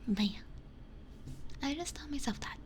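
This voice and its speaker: A woman whispering softly and unhurriedly in casual ASMR chat, musing in short phrases close to a binaural microphone. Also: high-pitched, lively intonation, normal speed. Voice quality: breathy whisper. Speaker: ASMR livestreamer. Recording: close-miked with faint background noise.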